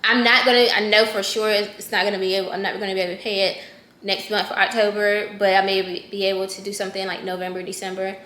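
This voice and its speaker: A woman's voice: mid-range voice, level tone, quick pace, fairly bright tone, roomy sound. A woman in her thirties casually chatting with her viewers, correcting herself as she goes and talking straight to them. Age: 30s. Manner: conversational, self-correcting, talks straight to viewers. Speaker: personal finance vlogger.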